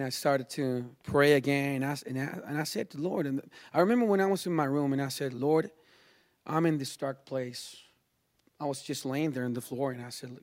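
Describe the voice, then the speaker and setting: Chilean man giving a halting personal testimony. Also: mid-range voice. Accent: Chilean Spanish. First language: Spanish. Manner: halting, informal, peppers talk with "you know" and "man". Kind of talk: personal religious testimony, spontaneous storytelling.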